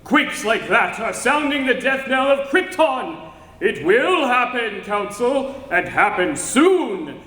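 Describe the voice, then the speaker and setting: A man's voice: very high-pitched, sing-song, slow pace, bright, forceful tone, exaggerated voice. A man acting a grave, passionate young alien scientist foretelling doom in solemn, declamatory old-time radio drama style. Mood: grave warning. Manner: solemn, declamatory, melodramatic delivery. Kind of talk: 1940s radio serial acting recreated on stage. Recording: echoey hall recording with background noise.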